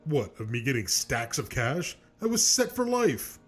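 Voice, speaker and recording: Man playing an animated, aggrieved cartoon loudmouth. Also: medium pitch, a little slow, soft background music. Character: aggrieved, defensive male loudmouth in a feud. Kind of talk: scripted comic argument in web animation.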